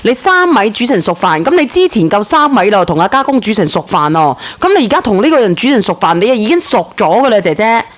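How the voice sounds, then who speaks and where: Woman host, very quick delivery, blunt, teasing, probing, live call-in chat. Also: medium pitch, big pitch swings.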